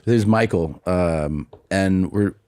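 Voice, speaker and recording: A man's voice: deep voice, slow pace, a little mellow, smooth, no echo, studio quality. A man hosting a podcast, chatting in a rambling, profane way and reading ads. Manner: rambling, self-interrupting, profane. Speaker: podcast host. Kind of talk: casual chat and ad reads.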